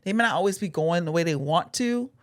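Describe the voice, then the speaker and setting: Earnest low-voiced woman co-host. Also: animated, fairly quick. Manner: earnest, rambling with many restarts and fillers. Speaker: podcast co-host. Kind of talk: casual podcast chat.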